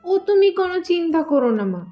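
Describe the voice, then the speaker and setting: A bossy young elder sister from a cartoon , acted by a woman. Her voice is high and swings theatrically as she coaxes and schemes. The recording sounds slightly dull and lo-fi. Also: very animated, fast talker, soft background music. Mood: reassuring. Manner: sly, coaxing, theatrical. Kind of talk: cartoon voice acting in a moral tale.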